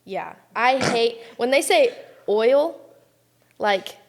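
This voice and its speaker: A young woman from California, a college softball player chatting casually as an interview guest, telling lively stories with lots of fillers. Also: a little high, slow pace. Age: young adult. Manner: chatty, animated storytelling with many fillers.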